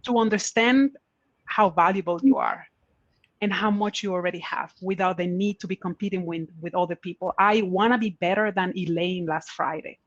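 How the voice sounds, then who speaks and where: A woman's voice: lowish voice, normal speed, dry recording. Earnest woman on a dull video call. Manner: earnest, encouraging, repeats key points. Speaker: panelist giving career advice. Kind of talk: conference Q&A answers.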